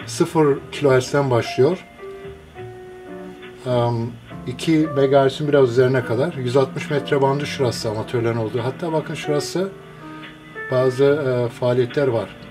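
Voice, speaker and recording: A man's voice: mid-range voice, music in the background. Hesitant man giving a casual explanation. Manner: hesitant, explanatory, pauses between short phrases. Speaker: amateur radio hobbyist demonstrating a receiver. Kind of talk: casual unscripted screen walkthrough. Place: indoors, filming a computer screen.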